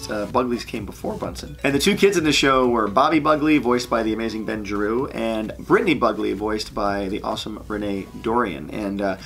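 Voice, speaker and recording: A man's voice: on the low side, brisk, hardly any pauses, a bit bright, faint music behind. A man talking casually and enthusiastically, like an animation creator telling work stories on his vlog. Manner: enthusiastic, chatty. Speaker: animation creator and video host.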